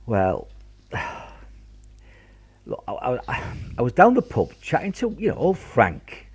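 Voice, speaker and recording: A man playing a genial, cheeky older grandfather with a British accent and a husky voice in a wartime radio play. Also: mid-range voice, huge pitch swings, takes it slowly, exaggerated voice. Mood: sheepish. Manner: jovial, hesitant, coaxing.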